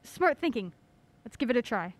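A woman playing an anxious, urgent heroine in a mystery audio drama, excitable and insistent. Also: a little high, normal speed. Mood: focused, uneasy. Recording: clearly noisy audio drama track.

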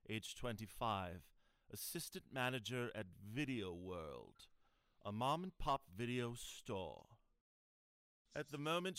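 Male suspense narrator: formal, very slow, clean resonant tone, measured radio-drama storytelling. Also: medium pitch, fairly bright tone, clear, resonant tone, no room sound, studio quality. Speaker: narrator of an audio drama serial. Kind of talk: scripted suspense-anthology host narration.

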